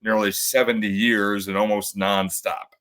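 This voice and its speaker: A man with a bassy voice, a podcast guest in casual conversation, halting with many fillers and restarts. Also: level tone, fairly bright tone.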